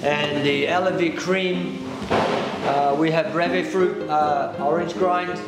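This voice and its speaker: A middle-aged man who grew up between England and New Zealand talks through a pastry recipe step by step. His voice sits a little high, and he explains things hesitantly, without hurry. The room is very echoey, and music plays loudly behind him. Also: fairly even intonation, slow pace. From England-born, raised in New Zealand. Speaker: pastry chef demonstrating a recipe. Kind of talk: step-by-step cooking demonstration. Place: indoor demonstration kitchen. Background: loud background music.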